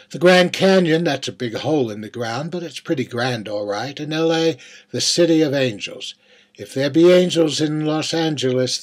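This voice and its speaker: A young man of twenty-one, played by a male reader, delivers a stage monologue in a husky, mid-pitched voice. He is plain-talking and folksy, with bitter dark humor and frequent rhetorical asides. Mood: breezy, boastful. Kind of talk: dramatic monologue reading.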